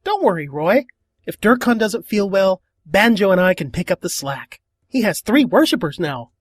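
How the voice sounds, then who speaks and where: A woman's voice: mid-range voice, very animated, moderate pace, no room sound, clean studio sound. A woman voicing a cheerful, naive, devoutly silly young male bard in a cartoon fandub. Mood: sunny, earnest. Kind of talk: animated comic fandub acting.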